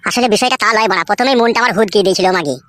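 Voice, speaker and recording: A man's voice: high voice, rapid-fire, a bit bright, no room sound. A man voicing a harried, foul-mouthed husband of two wives in a village comedy cartoon, grumbling and cursing. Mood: rueful, grumbling. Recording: music behind the voice.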